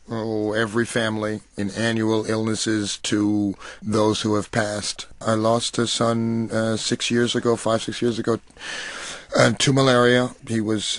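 A middle-aged American man from New York City, giving thoughtful, deliberate interview answers with pauses mid-phrase. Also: lowish voice, no room sound. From New York City, United States. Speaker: interview guest, coffee cooperative marketing director. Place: radio studio.

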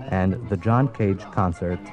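A man with a low voice narrates from a script in a formal, measured announcer style. Music plays clearly behind him as he speaks. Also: lo-fi sound.

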